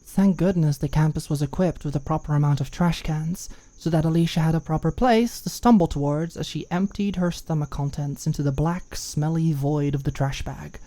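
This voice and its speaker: A woman reading a scripted story as an audiobook narrator, in an expressive storytelling style. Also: low voice, normal speed, hardly any pauses.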